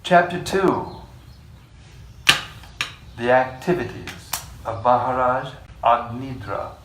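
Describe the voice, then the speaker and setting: A man leading a scripture class, reading passages in a measured way and joking with the group between them. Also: mid-range voice, animated, a bit bright. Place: room with a live group. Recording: echoey room, distant mic, faint hiss.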